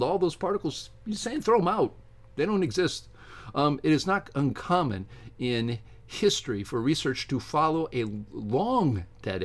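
Man, middle-aged, American accent, medium pitch, emphatic, opinionated, casual science vlogger. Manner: emphatic, opinionated, halting when reading aloud. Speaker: science video host. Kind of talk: casual vlog commentary with reading aloud from a book. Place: small home study with bookshelves.

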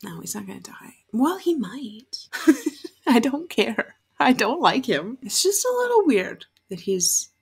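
A woman's voice: a little high, sing-song, a little slow, vocal fry, slightly rough. A young adult woman giving chatty, candid reaction commentary on a TV show, with casual swearing. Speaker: reaction video host. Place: bedroom, indoors.

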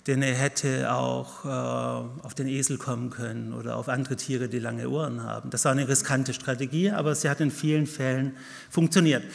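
A middle-aged man with a Swiss German accent and a gravelly edge to his voice, speaking into a podium microphone. His lecture is lively and wry. The sound carries a long reverberant tail from a large conference hall. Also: medium pitch. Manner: lively, wry lecturing with self-deprecating jokes. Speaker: keynote speaker, professor. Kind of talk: conference keynote talk. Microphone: lectern mic at a podium.

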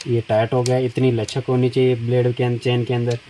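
A middle-aged man talks at an unhurried pace while his hands work on a tool. His voice stays level and barely rises or falls. He is recorded on a phone held over a shop counter, with clear background noise in the recording. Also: medium pitch, monotone, dark tone, rough recording. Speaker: tool shop seller demonstrating a chainsaw. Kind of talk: step-by-step product demonstration.

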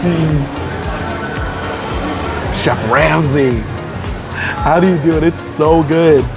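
A man in his thirties with a slightly high voice eagerly reviews dishes to camera, holding the phone at arm's length. He sounds enthusiastic and savoring. Clear music plays behind him in a busy restaurant. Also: slow. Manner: enthusiastic, savoring each bite with upbeat praise. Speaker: food vlogger. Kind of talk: casual food review to camera. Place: busy restaurant dining room, indoors. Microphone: phone or camera held at arm's length. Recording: background music playing in restaurant.

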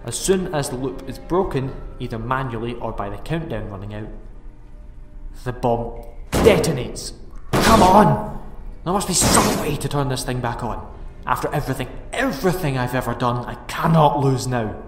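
A man's voice: mid-range voice, lively intonation, normal speed, natural voice. A man playing an anxious, self-doubting time-travelling alien hero in an audio drama. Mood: stressed. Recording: echoey reverberant space, faint music.

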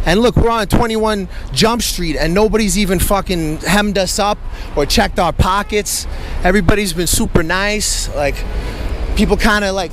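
A man's voice: sits high, a bit bright, slight background noise. A man vlogging while he walks outdoors on a city street, talking casually in a blunt, emphatic way and swearing freely. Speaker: vlogger and relocation consultant.

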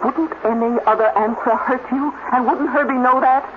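A woman's voice: high-pitched, steady tone, moderate pace, lightly acted. A woman playing a proud, bitter robot scientist, intense and sardonic, in a 1950s radio drama. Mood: cool, sardonic. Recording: tinny, very noisy 1950s radio recording.

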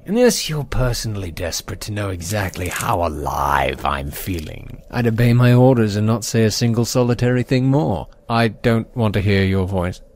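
A man playing a gleefully sadistic pony airship commander in an audio drama, theatrical and full of drawn-out, mocking politeness. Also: lowish voice, expressive, a little mellow. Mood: cold, irritated.

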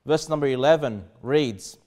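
A man in his thirties, a pastor preaching a sermon in earnest, with sudden emphatic rises and quiet drops. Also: mid-range voice, moderate pace. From Australia. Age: 30s. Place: small church studio behind a pulpit desk.

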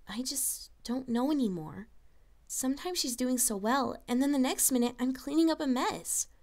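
Girl voice actor as worried young princess, highish voice, hesitant and earnest, audio drama. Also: lively intonation, normal speed, darkish tone. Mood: troubled. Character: worried, protective young princess, unsure of herself. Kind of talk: dramatic fan fiction voice acting.

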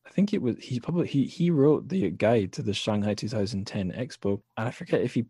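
Low-voiced man hosting a podcast. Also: lively intonation, fairly quick, dark tone, breathy edge, dry recording, clean studio sound. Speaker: podcast host. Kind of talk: casual literary podcast chat.

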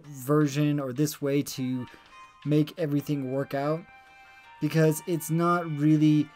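A woman narrating over a screen recording of her digital painting, explaining her process in a relaxed, thinking-aloud way. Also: low-pitched. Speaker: digital artist.

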